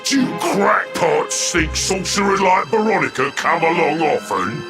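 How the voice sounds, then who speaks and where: An actor playing a pompous, scheming, hot-tempered monster boss in a video game, with theatrical, gloating delivery and sudden shouts. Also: male voice, on the high side, lively intonation, a little slow, music in the background. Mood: furious. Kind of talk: video game cutscene acting.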